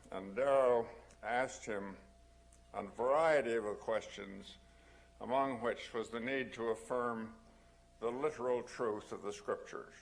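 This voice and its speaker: An older man giving reflective remarks on a public panel in a halting, deliberate and formal way. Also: fairly low pitch, vocal fry. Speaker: panel speaker.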